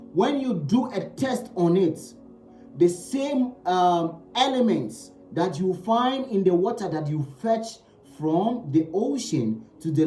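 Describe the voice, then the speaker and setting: A man's voice: high voice, mellow, echoey room. A man giving a spiritual teaching talk. He speaks emphatically, repeating key phrases and asking rhetorical questions.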